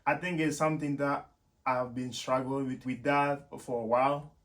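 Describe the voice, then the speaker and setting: A man's voice: medium pitch, steady tone, moderate pace, fairly bright tone. Man, casual vlogger. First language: French. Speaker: YouTube host and software developer. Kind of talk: casual explanatory vlog talk to viewers.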